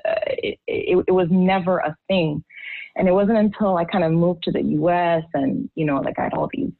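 Low-voiced woman on a tinny line. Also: steady tone, creaky, no echo. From Haiti. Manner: heartfelt, halting with many restarts. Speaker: guest. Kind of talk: candid personal testimony.

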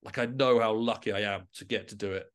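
A man's voice: lowish voice, steady tone, fast. A man speaking as a musician guest in a casual podcast interview, with an informal, self-deprecating and enthusiastic way of talking.

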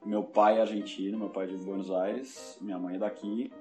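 A man's voice: lowish voice, flat delivery, normal speed, over music. Reflective young man, Paulista accent, roomy echo. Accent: Paulista Brazilian Portuguese. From São Paulo, Brazil. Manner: reflective, self-correcting, many restarts. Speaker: guest. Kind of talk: casual podcast interview.

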